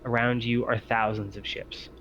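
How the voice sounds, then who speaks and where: Male game master for a tabletop roleplaying session, mid-pitched, on a dull, noisy lo-fi recording. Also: little pitch movement, moderate pace. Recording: muffled lo-fi mic with clear noise.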